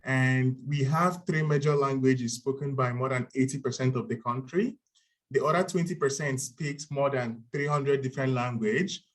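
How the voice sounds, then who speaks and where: A man's voice: mid-range voice, moderate pace. A man in his twenties from Nigeria, speaking English with a Nigerian accent as a second language, giving a structured, prepared talk as a panel speaker. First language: Igbo. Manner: structured, steady, uses signpost words.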